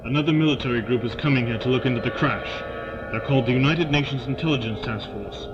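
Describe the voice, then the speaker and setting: An actor playing a hawkish, plain-spoken military colonel in an audio drama, talking in a colloquial way. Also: male voice, mid-range voice, fast talker, natural voice. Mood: wary, grim. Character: hawkish American military colonel, informal and bitter. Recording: dull lo-fi audio drama, loud music underneath.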